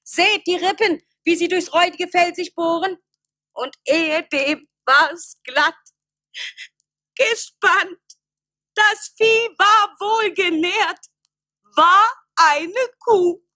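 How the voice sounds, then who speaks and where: A shrill, high-pitched scolding village wife. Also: female voice, slow, pushed and bright, no echo, clean studio sound, full character voice. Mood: indignant. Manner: declamatory, reproachful. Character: angry scolding village wife, commanding and indignant. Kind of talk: stage-like radio play acting.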